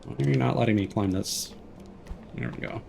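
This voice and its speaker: A man's voice: lowish voice, brisk, creaky, noisy background. A man streaming a video game with casual, musing and mildly critical live commentary. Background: video game music.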